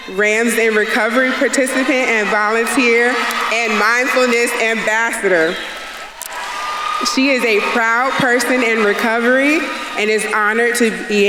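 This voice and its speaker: A woman presenting a speaker at a ceremony, reading her list of honors in measured, even steps. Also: on the high side. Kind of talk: formal speaker introduction. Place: large indoor ceremony hall. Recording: echoey hall with crowd noise.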